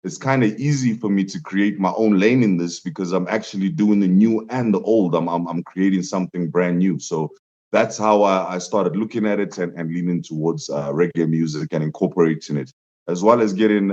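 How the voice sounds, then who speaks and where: A man's voice: low-pitched, fairly quick, darkish tone. A young man, a musician guest, telling stories animatedly in a casual interview, laughing often and jumping his voice up for quotes. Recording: dull lo-fi sound.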